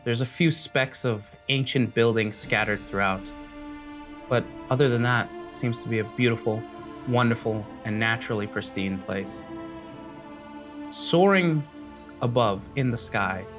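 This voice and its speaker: A man's voice: mid-range voice, a little slow. Man narrating a tabletop fantasy game over music. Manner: vivid, descriptive storytelling in second person. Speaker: game master.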